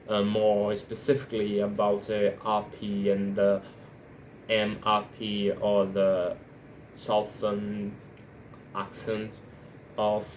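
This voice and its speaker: A Brazilian man speaking English as a second language, his first being Portuguese, in an unscripted monologue, often halting and restarting his sentences. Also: on the low side, a little hiss or hum, poor audio. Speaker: language learner vlogger.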